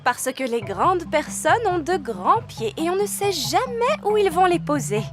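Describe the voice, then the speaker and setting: A woman's voice: extremely high pitch, sing-song, unhurried, over music, full character voice. A woman voicing a sensible, polite, matter-of-fact little elf child in a children's cartoon.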